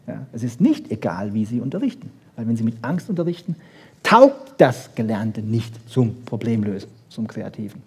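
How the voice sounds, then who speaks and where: A man giving a lively popular science lecture, emphatic, with rhetorical questions and short punchy asides. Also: medium pitch, normal speed, husky. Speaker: lecturer on brain research.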